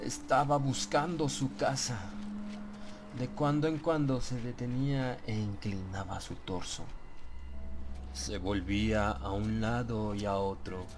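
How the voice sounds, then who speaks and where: A man narrating a horror audiobook in a solemn, measured, suspenseful way. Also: medium pitch. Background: prominent background music.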